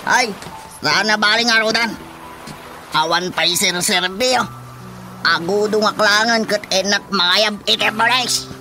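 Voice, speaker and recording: Aggrieved older father, high-pitched. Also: measured pace, pushed and bright, full character voice, poor audio. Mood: aggrieved, grumbling. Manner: plaintive, grumbling. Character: aggrieved father, older man, complaining and pleading. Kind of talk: Ilocano radio comedy-drama acting. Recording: faint music under the dialogue. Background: faint background music.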